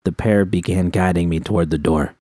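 A man reading a first-person fan story aloud in a quiet, subdued manner. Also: deep voice, steady tone, a little fast, no echo. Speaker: audiobook reader.

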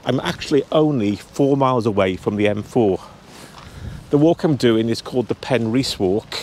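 A man's voice: mid-range voice, normal speed, a little mellow, a little hiss or hum. A man in his fifties chatting to a handheld camera as he walks outdoors on a cold hillside, talking straight to his viewers. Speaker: travel vlogger. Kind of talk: casual vlog walk-and-talk. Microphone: handheld camera at arm's length.

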